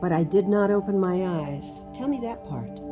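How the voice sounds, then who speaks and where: A woman's voice: mid-range voice, expressive, normal speed, close to an everyday voice. A woman voicing an eager, loving young boy who earnestly begs and questions, in a dramatic storybook read-aloud. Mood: earnest, wondering. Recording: music under the voice.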